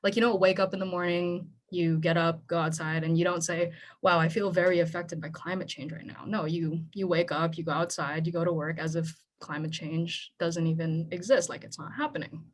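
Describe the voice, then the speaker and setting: A young woman from the Philippines, Tagalog her first language, gives a panel talk. Her voice sits a little low, and she speaks earnestly, often checking in with "right?". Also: flat delivery, fairly quick, hardly any pauses. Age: young adult. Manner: earnest, conversational, with frequent "right?". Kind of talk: speech at a panel event.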